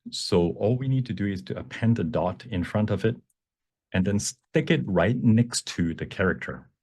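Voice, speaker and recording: Man, deep voice, bilingual instructor, step-by-step explanation, halting phrasing with repeats. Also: animated, darkish tone. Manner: bilingual, switches between English and Cantonese. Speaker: workshop presenter, instructor. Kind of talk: live software demonstration, explanatory teaching.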